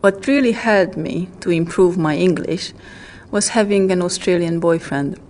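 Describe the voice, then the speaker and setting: A woman from Hungary telling a personal story in a warm way as she shares her tip. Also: on the low side, normal speed, a little hiss or hum. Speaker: learner giving a tip. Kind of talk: scripted textbook audio.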